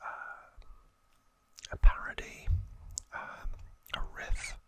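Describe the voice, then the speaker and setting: A host whispering softly and haltingly close to the microphone, explaining trivia informally in an ASMR style. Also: no room sound. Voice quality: soft unvoiced whisper.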